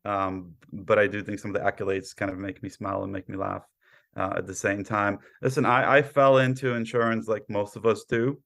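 American male podcast guest, thirties, low gravelly voice, modest conversational explainer. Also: little pitch movement, a little fast, a bit bright. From Brooklyn, New York, born in Poland. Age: 30s. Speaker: podcast guest, cyber insurance professional. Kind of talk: conversational interview answers.

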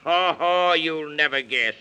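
A man's voice: a little high, unhurried, bright, forceful tone, slight background noise, poor audio. A man voicing a cheery, encouraging British walrus in a 1930s children's radio serial, jaunty and reassuring, speaking in rhyme. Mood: advising, jaunty.

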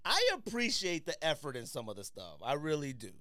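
A man's voice: fairly high pitch, wildly swooping pitch, brisk, pushed and bright, no echo, studio quality. An American man hosting a casual panel podcast, bantering in a slangy, teasing way. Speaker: podcast host.

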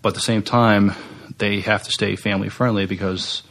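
A man's voice: lowish voice, fairly even intonation, normal speed, a bit bright. A man co-hosting a podcast in a casual, conversational style. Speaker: podcast co-host. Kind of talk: casual sci-fi news chat.

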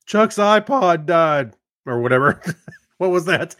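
A man's voice: a little high, animated, unhurried, a bit bright, dry recording, studio quality. A man co-hosting a podcast, chatting casually, rambling and laughing often. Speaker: podcast co-host. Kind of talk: casual banter.

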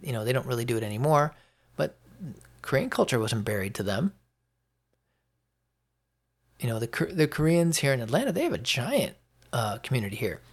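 An American man hosts a solo opinion podcast in a voice full of gravel and fry. His delivery is unscripted and halting, and he leans hard on repeated phrases to drive his opinions home. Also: medium pitch, very animated. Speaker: podcast host.

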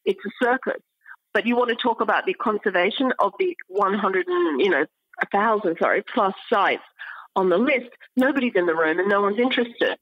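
A woman speaking as an academic expert in an interview, explaining in an articulate way with pauses mid-phrase. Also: mid-range voice, expressive, no echo, highs cut off.

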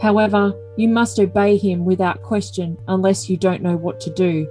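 A woman's voice: medium pitch, fairly even intonation, moderate pace, mellow, faint music behind, close to an everyday voice, poor audio. A woman voicing a doting, controlling, self-important boyfriend in an overly dramatic reading of messages. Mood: commanding.

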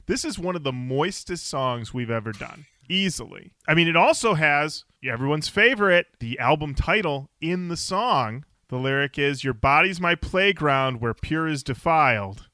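A man's voice: on the high side, lively intonation, moderate pace, few pauses, bright, forceful tone, dry recording, clean studio sound. A man co-hosting a music podcast, chatting casually and joking playfully. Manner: playful, jokey bits. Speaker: podcast co-host.

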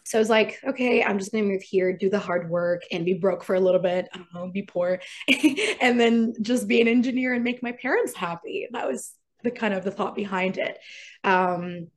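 A woman's voice: mid-range voice, normal speed. Chatty young woman, podcast guest. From Iraq. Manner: chatty, laughs easily, many fillers. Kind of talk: casual interview chat.

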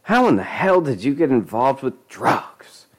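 A man's voice: mid-range voice, sing-song, measured pace, pitched above the performer's own voice. A man voicing an angry, overbearing middle-aged mother who scolds relentlessly in a storybook reading. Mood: accusing. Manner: scolding, indignant, forceful.

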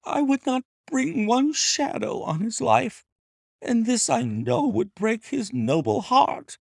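A man voicing a desperate aristocratic young wife who pleads tearfully in a high voice. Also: lively intonation, slow, gravelly, no room sound, clean studio sound, heavily acted. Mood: pleading.

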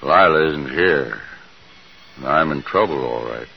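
A man's voice: rumbling low, lively intonation, a little slow, pushed and bright, faint music behind, exaggerated voice. An American man acting a troubled, defensive frontier settler with rustic, intense speech in a 1950s radio Western. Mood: bitter, guarded. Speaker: actor in a radio Western drama. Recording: hissy 1950s radio recording.

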